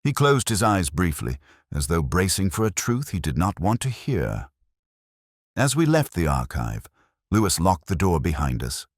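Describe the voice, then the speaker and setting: A man with an extremely low voice narrates a detective mystery in a solemn, literary style. His delivery is measured, yet the pitch moves in a lively way. The recording is dry and close, with little room sound. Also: dry recording. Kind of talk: scripted first-person audio mystery narration.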